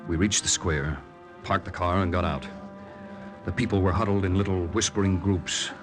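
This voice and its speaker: An actor playing an earnest young American undercover lieutenant, with an American accent, in 1940s radio drama style. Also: male voice, deep voice, fairly even intonation, moderate pace, natural voice. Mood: narrating. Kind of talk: 1940s radio acting and first-person narration. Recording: vintage radio with music behind.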